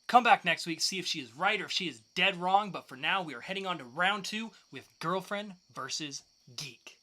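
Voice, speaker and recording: A man's voice: high voice, lively intonation, normal speed, sharp tone. A man co-hosting a podcast in casual banter, speaking loosely and swearing freely. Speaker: podcast co-host.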